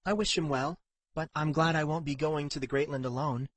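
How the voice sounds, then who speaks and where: A man's voice: on the high side, fairly even intonation, brisk, a bit bright, no echo, pitched above the performer's own voice. A man plays an earnest, burdened young woman in a fantasy audiobook. Her voice is lifted above his natural register, persistent and protective. The sound is dry. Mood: guarded. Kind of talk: audiobook character dialogue.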